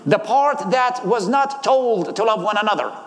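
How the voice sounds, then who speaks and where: A man's voice: high-pitched, expressive, moderate pace. A man in his thirties from Iraq with an Iraqi accent, giving a sermon and personal testimony. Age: 30s. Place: large hall, live talk. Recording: echoey hall with long reverb.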